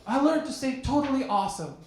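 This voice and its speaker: A man in his forties from Turkey with a Turkish accent, doing stand-up comedy and chatting with the crowd in a lively, teasing way. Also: extremely high pitch, quick pace. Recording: very echoey, noisy room.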